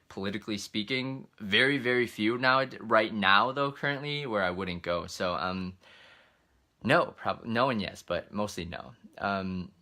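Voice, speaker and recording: A man's voice: on the low side, sharp tone. A man who hosts travel videos, chatting casually in a live question-and-answer session, informal and rambling as he thinks aloud. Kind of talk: casual livestream Q&A, answering read-out comments.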